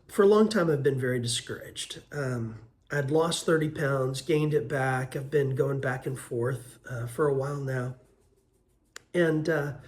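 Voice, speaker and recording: A man in his fifties, hoarse from a cold, giving an earnest, unhurried vlog update with frequent ums and uhs. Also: medium pitch. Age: 50s. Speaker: vlogger. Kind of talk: casual weight-loss update vlog.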